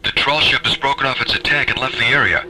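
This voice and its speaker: An actor playing a male space station officer in a radio drama, crisp and dramatic. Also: medium pitch, fast talker, bright, forceful tone, poor audio. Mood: awed.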